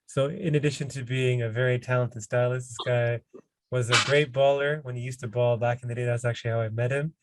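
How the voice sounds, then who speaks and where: A man hosting a podcast, introducing his guest and asking questions in a relaxed, casual way. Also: medium pitch, brisk, low-quality recording. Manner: relaxed, casual, uses fillers like "you know". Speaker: podcast host. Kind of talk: podcast interview.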